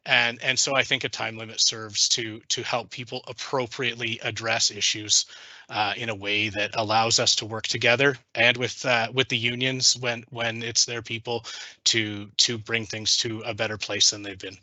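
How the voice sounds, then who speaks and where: A man, a district administrator, explaining a policy in a committee meeting, measured and careful with frequent restarts. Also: medium pitch, little pitch movement, bright, forceful tone, dry recording.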